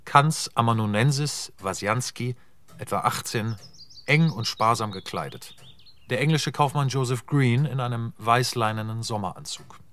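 A man's voice: mid-range voice, normal speed, a bit bright. A man narrating stage directions in a radio play, plain and matter-of-fact. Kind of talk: scripted narration.

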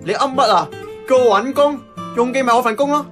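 A man's voice: very high voice, fairly quick. A man playing a brash, defensive nephew who manages the family diner in a radio drama, speaking in an exclamatory way with a Hong Kong accent. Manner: cocky, exclamatory. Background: music.

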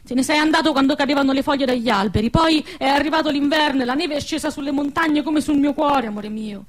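An actress playing a lovesick young peasant woman in wartime, in expressive radio drama with a central Italian accent. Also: high voice, fast talker, lightly acted. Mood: tender, yearning. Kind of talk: stage-style radio drama acting.

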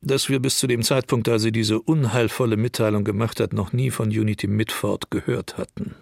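A man playing an indignant, scornful newspaper editorialist with a creaky voice in an audio documentary. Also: fairly low pitch, fairly even intonation, fairly quick, a little mellow, dry recording, clean studio sound. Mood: indignant, dry. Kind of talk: dramatized press reading in an audio documentary.

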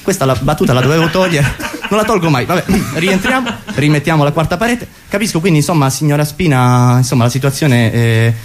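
Male comedian as interviewer: Roman accent, halting delivery, comic mock-interview style. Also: fairly high pitch, slight background noise. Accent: Roman Italian.

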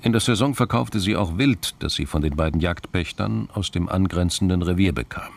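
A man narrating a scripted crime drama in a gravelly voice, measured and understated. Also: low-pitched.

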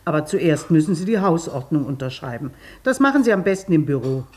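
An actress in a German radio play playing a bossy, talkative long-term patient, blunt and colloquial. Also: female voice, sits low, animated, fairly quick, a little mellow. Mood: brisk. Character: bossy, chatty long-term woman patient showing newcomer around.

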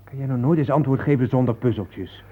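A male actor in a Dutch radio play, playing a skeptical, excitable photographer who talks bluntly and colloquially, full of questions. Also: medium pitch, fairly quick, close to an everyday voice. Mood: skeptical, puzzled. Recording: noisy, thin phone-like old radio recording.

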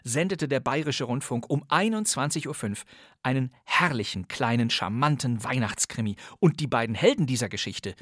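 A man introducing an old crime radio play from a script, wry and chatty, with playful asides for his listeners. His mid-pitched voice sounds close and completely dry, recorded on a studio mic without any room sound. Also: lively intonation, normal speed, fairly bright tone, dry recording. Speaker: podcast host. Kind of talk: scripted presentation.

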